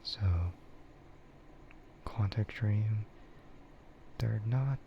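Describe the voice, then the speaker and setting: A man chatting casually on an ASMR livestream in a soft, breathy, often whispered voice, rambling in a relaxed, hesitant way. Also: lowish voice, extremely slow. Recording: muffled with clear background noise.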